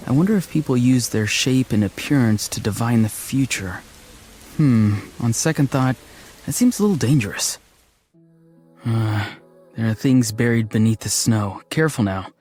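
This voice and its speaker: An actor voicing a dreamy, awkward, gentle young man in a fantasy game, musing and hesitant in a deadpan way. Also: medium pitch, moderate pace, soft background music, natural voice. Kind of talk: scripted game character voice lines.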